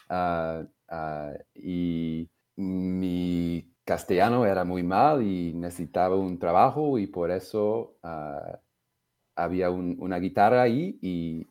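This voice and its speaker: Slow, halting man with a deep voice. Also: warm tone. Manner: halting, searching for words, many fillers. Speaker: singer-songwriter guest. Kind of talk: interview answers.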